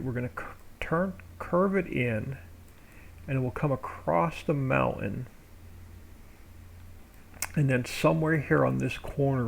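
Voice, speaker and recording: Gravelly-voiced male streamer, relaxed and rambling, talking himself through his work at a home desk mic. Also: medium pitch, a little hiss or hum. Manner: thinks aloud while working, relaxed and rambling. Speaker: live streamer building a game map. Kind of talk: casual live-stream commentary.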